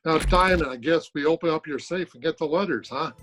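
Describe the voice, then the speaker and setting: An actor playing a crude, taunting gangster heavy who talks in tough, broken grammar in an old-time radio crime drama. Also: male voice, a little high, fairly quick, noisy background, lightly acted. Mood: taunting threat. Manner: threatening, ungrammatical tough talk. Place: at home, recorded remotely.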